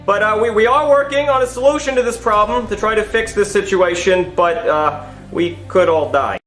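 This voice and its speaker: Man acting a halting, high-voiced nervous official. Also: a bit bright. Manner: halting, stammering, earnest. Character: nervous, hesitant male official giving press conferences. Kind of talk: comedy skit press conference. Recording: roomy echo with faint music.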